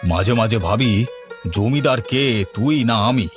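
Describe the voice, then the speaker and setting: A man's voice: mid-range voice, unhurried, lightly acted. A man voicing a blustering, henpecked village landlord in a cartoon. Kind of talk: cartoon voice acting. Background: music.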